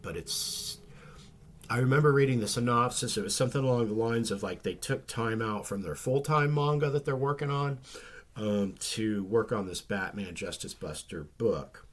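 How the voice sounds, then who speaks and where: A man chatting casually about comic books, his voice a little rough and nasal from a recent flu, rambling with many ums and restarts. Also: medium pitch. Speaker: comic book vlogger. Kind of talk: casual chat.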